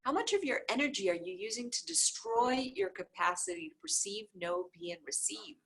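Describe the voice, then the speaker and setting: Female book reader, informal and emphatic, lively intonation, breathy-husky tone. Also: fairly high pitch, a little fast, low-quality recording. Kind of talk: book read aloud, informal.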